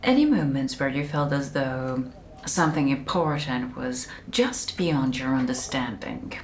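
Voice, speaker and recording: A woman playing a calm, controlling institute specialist in a soft, breathy ASMR hypnosis roleplay, often whispering, with slow, soothing, clinical delivery. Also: extremely low pitch, slight background noise. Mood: clinical, approving. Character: calm, controlling female institute specialist conducting mind conditioning.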